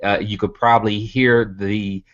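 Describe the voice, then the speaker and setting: A man's voice: fairly low pitch, flat delivery, measured pace, a bit bright, lo-fi sound. A man from Birmingham, Alabama, with a Southern accent, giving an online motivational business presentation, stacking rhetorical questions and repeating his key points. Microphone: computer mic on a video hangout.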